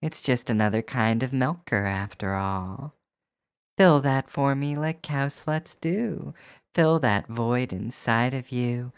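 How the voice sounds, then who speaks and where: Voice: medium pitch, takes it slowly, clear, resonant tone, no echo. An adult performer delivering a scripted erotic hypnosis monologue in a coaxing, teasing, rhythmic way, speaking straight to the listener. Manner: coaxing, teasing, with rhyming, repetitive phrasing.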